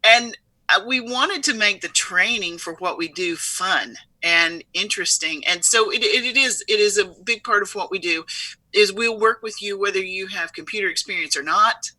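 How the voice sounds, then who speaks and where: A woman's voice: medium pitch, normal speed, bright, forceful tone, dry recording, rough recording. A middle-aged woman who runs a company, speaking as an interview guest in an upbeat, emphatic way and laughing often. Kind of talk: conversational interview.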